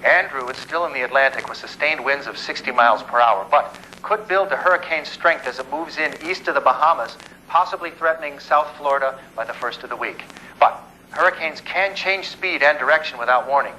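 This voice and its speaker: An actor playing an earnest, insistent TV hurricane forecaster, serious and explanatory in delivery. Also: male voice, medium pitch, fairly quick, pushed and bright, slight background noise, low-quality recording. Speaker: TV weather forecaster. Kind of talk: film drama dialogue and broadcast delivery.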